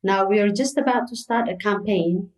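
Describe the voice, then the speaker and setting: A woman's voice: mid-range voice, moderate pace, echoey room, low-quality recording. A middle-aged woman from Ethiopia who runs a circus, answering interview questions earnestly and repeating words for emphasis. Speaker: circus founder and guest.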